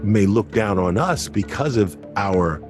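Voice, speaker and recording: A man from the United States giving measured, scholarly commentary in an analytical podcast discussion. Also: on the low side, expressive, over music.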